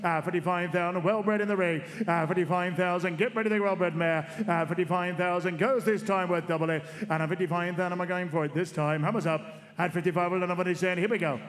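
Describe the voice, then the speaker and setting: A high-voiced man auctioneers at speed, rattling off bids in a tight, near-monotone chant. He keeps coaxing the room for one more bid. His voice rings out through the long reverb of a sales ring. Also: fast talker. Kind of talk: rapid bloodstock auction calling.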